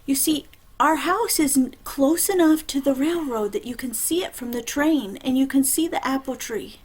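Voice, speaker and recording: A woman's voice: high-pitched, moderate pace, lightly acted. A woman voicing a frightened young man, a runaway who pleads in a trembling voice. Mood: scared.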